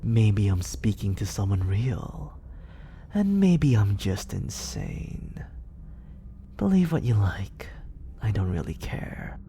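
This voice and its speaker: A man acting out a manipulative villain who purrs his words close to the mic, low-toned and slow. His voice is gravelly and dark, and it drifts often into a hushed whisper. His manner is coaxing, condescending and fully in control. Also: very animated, slight background noise, full character voice. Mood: smug, coaxing. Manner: slow, purring delivery that often drops into whispers. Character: smooth, manipulative male villain, soft-spoken and controlling. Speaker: voice actor. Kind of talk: ASMR roleplay. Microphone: close studio condenser mic.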